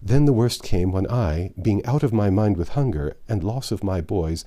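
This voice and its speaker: A man's voice: fairly low pitch, expressive, normal speed, warm tone, lightly acted. A man reading an audiobook as a grieving, starving peasant mother, telling of hardship in impassioned, archaic speech. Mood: anguished. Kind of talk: dramatic audiobook character reading.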